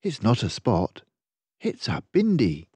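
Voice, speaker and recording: Storybook mum a man acting her: gentle and patient, slightly husky, unhurried explanations to a small child. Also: lowish voice, huge pitch swings, no room sound, heavily acted. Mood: gentle explaining.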